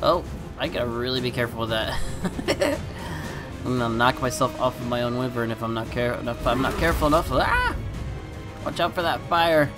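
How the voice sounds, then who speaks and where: A man hosting a gaming channel, chatting excitedly over his gameplay and laughing often, with a stuffy nose. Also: mid-range voice, moderate pace, music in the background. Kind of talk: casual gameplay commentary.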